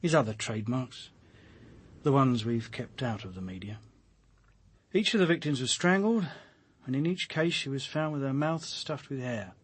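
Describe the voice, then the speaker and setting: Husky, haunted police detective. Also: male voice, medium pitch, lively intonation, normal speed. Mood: grim, exasperated. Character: weary, haunted police detective hunting a serial killer. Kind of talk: radio drama acting.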